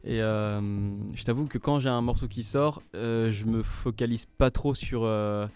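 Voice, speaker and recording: Man with a smooth, clear voice. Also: mid-range voice, fairly even intonation, unhurried, darkish tone. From French Guiana. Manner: hesitant, many fillers and restarts. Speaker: musician guest. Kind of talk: radio interview.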